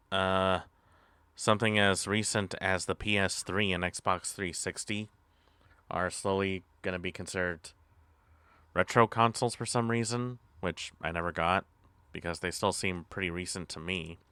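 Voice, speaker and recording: A man streaming and chatting casually as he reacts to videos, with halting, drawn-out phrasing. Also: low-pitched, sharp tone, smooth, dry recording.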